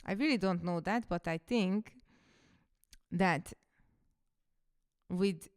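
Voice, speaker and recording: A woman's voice: lowish voice, slow, smooth. A woman in her thirties, a coach and podcaster whose first language is Hungarian, telling her story in a reflective, casual monologue.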